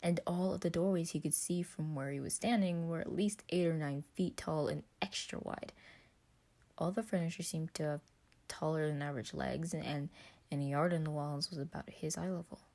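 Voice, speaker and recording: A woman's voice: low-pitched, fairly even intonation, moderate pace, a little mellow. A woman reading a story aloud as a scripted narration. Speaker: podfic narrator.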